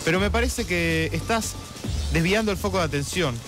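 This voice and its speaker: A man's voice: high-pitched, moderate pace, natural voice. A man with a Buenos Aires accent playing a capricious, bossy newspaper editor in a comic radio sketch. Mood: pompous, condescending. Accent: Argentine, Buenos Aires. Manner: domineering, interrupting, repetitive. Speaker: radio show host. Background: music.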